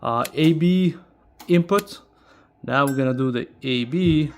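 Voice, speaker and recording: A man who speaks English as a second language gives a casual, unscripted product demo as a video host, talking haltingly and checking in with short tag questions. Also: fairly high pitch. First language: not English. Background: music playing, amplifier fan.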